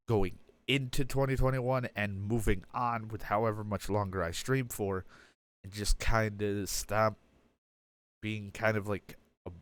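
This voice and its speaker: A man's voice: on the low side, fairly bright tone. A young man with a stuffed-up nose giving a candid personal update as a streamer, rambling and self-deprecating with many fillers and restarts.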